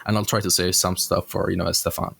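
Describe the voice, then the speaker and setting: A man's voice: low voice, flat delivery, fairly quick, gravelly, a bit bright, breathy edge. A man hosting a podcast, chatty and loose, correcting himself and filling gaps with little asides. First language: not English. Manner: chatty, self-correcting, filler-heavy. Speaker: podcast host. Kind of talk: casual interview chat.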